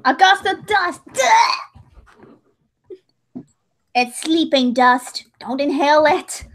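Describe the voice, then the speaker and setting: A woman's voice: high voice, expressive, drawn-out words, a bit bright. A woman chatting casually on a livestream in a playful, joking way. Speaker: livestream host editing a dramatic reading. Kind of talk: casual chat.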